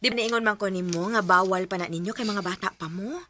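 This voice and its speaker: A woman playing a gentle, caring mother who coaxes and teases her son. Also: mid-range voice, quick pace, noisy background, close to an everyday voice. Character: caring middle-aged mother. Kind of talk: amateur radio drama acting.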